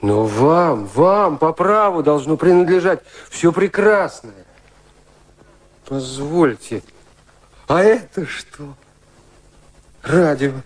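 A man acting in a radio drama, playing an ardent, awestruck young romantic who speaks in a halting, rapturous way. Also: fairly high pitch, expressive. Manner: rapturous, halting, hushed wonder. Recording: radio play with music behind.

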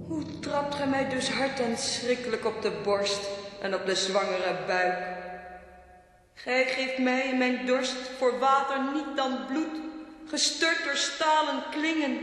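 A woman's voice: high voice, fairly bright tone. An actress playing the ravaged Earth as an anguished mother, declaiming a solemn lament in verse for a radio tragedy. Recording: echoey hall with long reverb.

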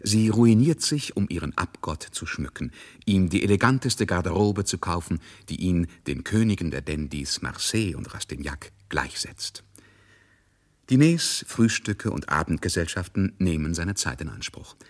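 An actor narrating a literary radio drama in a measured, emphatic, worldly manner. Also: male voice, low-pitched, expressive. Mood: dramatic narrating.

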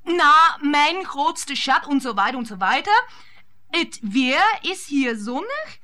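Radio drama: sentimental, clingy mistress, slow bright delivery, plaintive, dropping German words. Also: female voice, high voice, sing-song, exaggerated voice. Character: clingy, sentimental rival lover peppering speech with German. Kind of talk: radio play acting.

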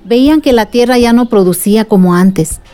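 A woman's voice: on the high side, moderate pace, dark tone, soft background music, close to an everyday voice. A woman playing a patient storyteller who explains events to a child in a radio drama. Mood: patient, serious.

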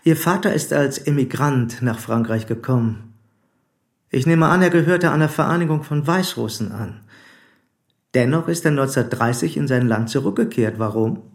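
Male actor as police commissioner, medium-pitched voice, formal, deliberate, insistent questioning, radio drama. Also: close to an everyday voice. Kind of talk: German radio play acting.

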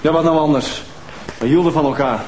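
A man's voice: fairly high pitch, brisk, a little mellow, a little hiss or hum, lightly acted. A man acting a defensive, callous younger widower, brusque and indignant in a TV crime drama. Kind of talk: naturalistic TV crime drama dialogue. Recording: echoey room under prominent music.

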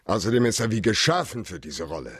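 An actor playing a cocky, hard-boiled private detective in a radio crime drama, talking bluntly and sardonically. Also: male voice, lowish voice, very animated, moderate pace, fairly bright tone, husky, exaggerated voice. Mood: cool, provoking.

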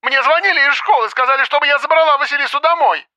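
An actress playing a hysterical, accusing mother in an audiobook, shrill and agitated, heard as if over a phone. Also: moderate pace, sharp tone, dry recording, lo-fi sound. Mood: agitated, defensive. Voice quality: shrill, shrieking.

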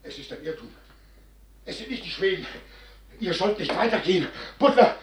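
A man's voice: high voice, expressive, normal speed, background noise. An actor playing an anguished, pleading military man in a classical radio drama, very emotional, moving between murmurs and cries. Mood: fearful, urgent. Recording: roomy echo, loud music underneath.

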